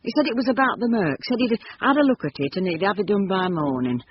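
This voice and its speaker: An actress playing an earthy, exasperated, teasing councillor's wife in a British radio drama. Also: female voice, medium pitch, very animated, fast, natural voice. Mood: suspicious, nagging. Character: woken, nagging, earthy wife of a town councillor. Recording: dull, noisy lo-fi radio recording.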